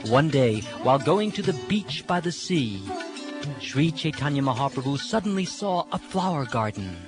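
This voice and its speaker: High-voiced man reading scripture over music. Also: very animated, moderate pace. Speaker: reader of scripture. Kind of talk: scripted devotional narration, dramatic reading. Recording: music under the voice.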